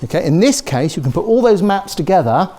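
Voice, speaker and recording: A middle-aged British man giving a university lecture, animated, checking in with the audience with "okay?" and "yeah?", recorded on a lapel mic in a large lecture theatre. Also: high-pitched, a little fast. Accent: British English. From Britain.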